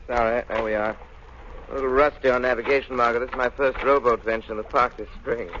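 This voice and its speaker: Male actor as cultured analytical detective, fast delivery, 1930s radio acting, muffled recording with faint noise. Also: mid-range voice, steady tone, fairly bright tone, natural voice. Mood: light, amused. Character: suave, analytical gentleman sleuth, calm and cultured.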